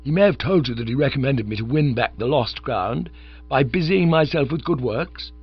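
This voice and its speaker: A man voicing an excitable, scatterbrained young gentleman who chatters eagerly and breathlessly. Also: mid-range voice, moderate pace, breathy edge, no room sound, soft background music, pitched above the performer's own voice. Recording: dull lo-fi recording with mains hum.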